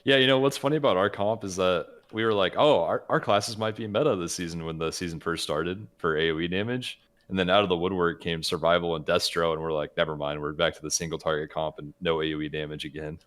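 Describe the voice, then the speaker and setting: A man's voice: low voice, fairly even intonation, quick pace, hardly any pauses, fairly bright tone. A man co-hosting a gaming podcast, talking in a casual, conversational way. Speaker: podcast co-host.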